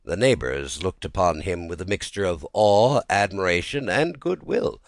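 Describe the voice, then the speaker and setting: Male narrator for classic literature audiobook: low register, highly animated theatrical storytelling, dry studio-style sound. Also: normal speed, dry recording.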